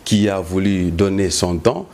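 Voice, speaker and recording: A man with a Congolese accent hosting a political interview, putting drawn-out rhetorical questions. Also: sits low, darkish tone. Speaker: host.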